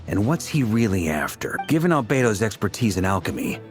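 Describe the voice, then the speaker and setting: A man voicing a courteous, earnest strategist in a video game, speaking politely and deliberately with a thoughtful, slightly naive air. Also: lowish voice, big pitch swings. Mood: serious, courteous. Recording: game music behind the voice.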